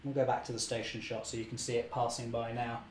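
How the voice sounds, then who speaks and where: A young man, slightly hoarse, chatting cheerfully through a live model railway session and correcting himself often. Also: mid-range voice, level tone, fast talker, reverberant, a little hiss or hum. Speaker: model railway channel host. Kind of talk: live-stream running commentary.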